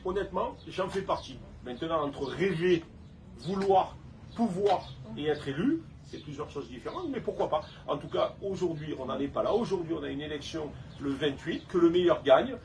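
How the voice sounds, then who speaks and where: Southern French man, slightly high-pitched, halting speech turning emphatic, distant noisy recording. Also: animated, a little mellow. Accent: Provençal (southern French). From Saint-Tropez, France. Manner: hesitant, repeats words, then emphatic. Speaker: restaurant owner and council candidate. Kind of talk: casual interview.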